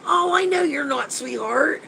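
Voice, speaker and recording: A man's voice: extremely high pitch, animated, measured pace, gravelly, bright, forceful tone, slight background noise, rough recording. A man in his fifties with a stuffy, nasal voice, rambling and gushing in a casual live chat with viewers as a paranormal investigator, swearing now and then. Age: 50s.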